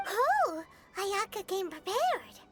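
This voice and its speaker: A woman's voice: extremely high pitch, sing-song, slow pace, a bit bright, heavily acted. A woman voicing a tiny, perky, childlike fairy companion in a cartoonish video game style, curious and blunt. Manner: perky and exclamatory. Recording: music behind the voice.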